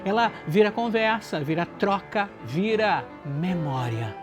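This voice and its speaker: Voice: medium pitch, expressive, measured pace. A narrator with a Brazilian accent delivers reflective scripted narration in earnest, short, listed phrases. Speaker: book project organizer and narrator. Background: background music.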